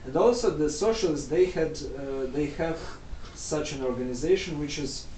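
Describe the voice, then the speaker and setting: A man from Moldova whose first language is Russian, talking in a casual conversation with many halting restarts and repeated words. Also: on the high side, mellow, echoey room, distant mic, a little hiss or hum, poor audio.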